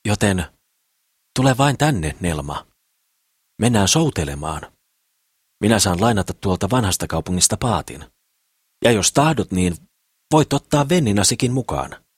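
A man's voice: fairly low pitch, sing-song, long pauses, husky, no echo, pitched above the performer's own voice. A man voicing an eager, boastful, simple builder in love, rambling and slangy, in an audiobook. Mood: boastful, excited. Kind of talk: audiobook character voice.

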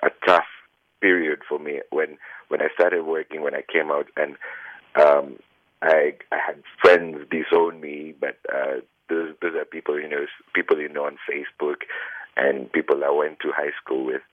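A man with a strikingly deep, low voice answers interview questions over a tinny phone line. He speaks earnestly, pausing on fillers and restarting his phrases as he gathers his thoughts. The line is dry, with no room sound around him. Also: little pitch movement, no room sound. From Kenya. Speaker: human rights lawyer, interviewee.

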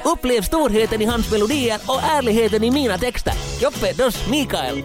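A man puts on a boastful retired crooner in his old age, with a Finland-Swedish lilt and a high, sing-song voice. He hams up every line over loud music. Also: animated. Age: older. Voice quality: hammy, sing-song delivery. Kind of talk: parody ad with singing.

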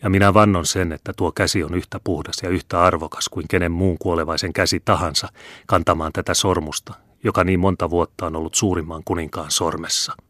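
A man's voice: low voice, steady tone, a bit bright, dry recording, studio quality. A man voicing a proud young officer who speaks to his father earnestly and firmly. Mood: indignant.

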